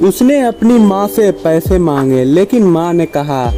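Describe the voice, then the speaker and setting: A man reading scripted moral stories for children in a simple, measured storybook manner. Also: high voice, big pitch swings, over music.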